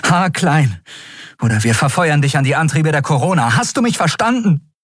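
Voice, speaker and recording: A man voicing a harsh, angry woman who interrogates a captive in a sharp, demanding, desperate manner in an audiobook. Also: on the high side, huge pitch swings, measured pace, breathy edge, dry recording, clean studio sound, voice pushed up, heavily acted. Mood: cruel, threatening.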